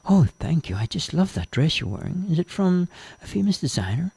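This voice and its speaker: A man's voice: fairly high pitch, sing-song, fairly quick, gravelly, mellow, breathy edge, no room sound, pitched above the performer's own voice, heavily acted. A man voicing a bold, chatty, ambitious young woman in a told story, eager and sweet-talking. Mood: polite, flattering. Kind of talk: character voice in story narration.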